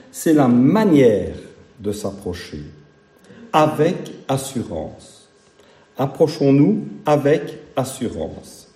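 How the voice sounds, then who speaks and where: Preacher with a very dark, mellow voice. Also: male voice, mid-range voice, roomy sound. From Belgium. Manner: folksy, humorous, emphatic repetition. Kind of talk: sermon.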